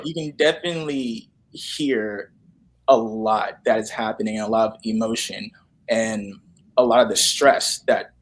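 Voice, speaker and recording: A young man chatting casually as an interview guest, often repeating himself for emphasis. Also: medium pitch. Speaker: guest, actor.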